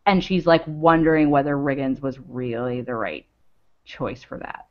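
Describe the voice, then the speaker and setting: A woman's voice: a bass voice, moderate pace. A woman co-hosting a podcast, talking casually about a TV show and thinking aloud with lots of "like"s. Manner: rambling, thinks aloud with many "like"s. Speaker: podcast co-host. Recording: dull lo-fi audio.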